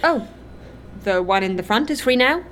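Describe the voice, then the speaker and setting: An actress as high-voiced, timid, polite young woman. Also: expressive, moderate pace, a little hiss or hum, lightly acted. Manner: hesitant, apologetic, polite. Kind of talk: audio drama acting.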